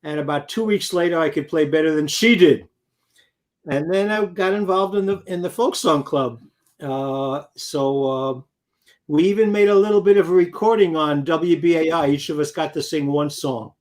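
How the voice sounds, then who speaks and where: A man's voice: a little high, moderate pace. An older man from New York City, a guest musician telling his story in a rambling, anecdotal way with many fillers. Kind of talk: casual interview chat.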